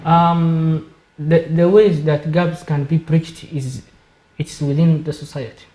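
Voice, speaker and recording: A man from Somalia, a guest on a panel discussion, speaking in a measured way and repeating words while he searches for them. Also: a little high, steady tone, dark tone, roomy sound. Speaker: studio guest.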